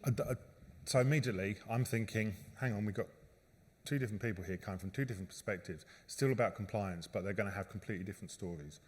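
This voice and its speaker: A man moderating a conference panel in an upbeat, brisk way. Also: fairly low pitch, breathy edge. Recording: distant in a reverberant hall.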